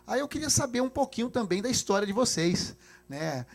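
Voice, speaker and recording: A man with a Brazilian accent hosting a conversational interview, putting questions in halting phrases. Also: very high voice, big pitch swings, gravelly. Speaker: host interviewing guests.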